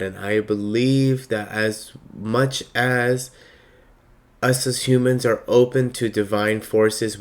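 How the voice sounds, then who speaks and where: A man with a slightly raspy voice giving a slow, reflective spiritual monologue with many pauses. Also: on the low side. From Palestinian heritage. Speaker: spiritual reader.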